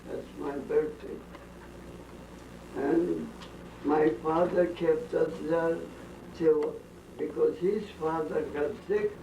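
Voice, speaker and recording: An elderly woman, slowly telling rambling family stories, drifting off topic and voicing blunt opinions. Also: on the low side, dark tone. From Bukovina, then Austria. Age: older. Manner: slow, halting, drifting off topic with strong opinions. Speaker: interviewee. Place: family home during a dinner gathering. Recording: roomy, distant, dull lo-fi home tape with faint hiss.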